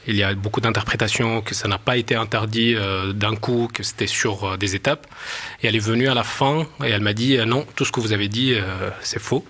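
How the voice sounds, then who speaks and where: A man, an actor whose first language is Arabic, calmly and earnestly recounting a debate with a student. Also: fairly low pitch. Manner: calm, earnest, hesitant fillers. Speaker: stage actor. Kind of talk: interview anecdote.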